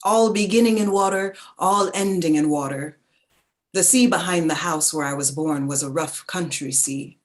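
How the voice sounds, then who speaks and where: A woman narrating a staged literary reading in a measured, lyrical, dramatic way. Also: on the low side.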